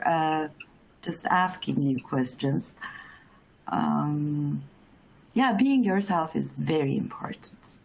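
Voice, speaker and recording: Very slow Turkish-accented woman. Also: low voice, low-quality recording. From Turkey. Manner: short plain sentences with long hesitations. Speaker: panelist.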